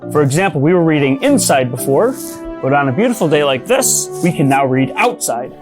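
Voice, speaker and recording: A woman's voice: low voice, sing-song, brisk. A woman presenting an educational children's show in an explanatory, encouraging manner. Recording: music behind the voice.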